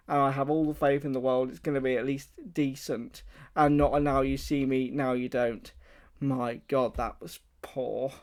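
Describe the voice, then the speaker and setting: A man's voice: medium pitch, darkish tone. A man hosting a radio show, casually reviewing a film and introducing his guest in a chatty, enthusiastic way.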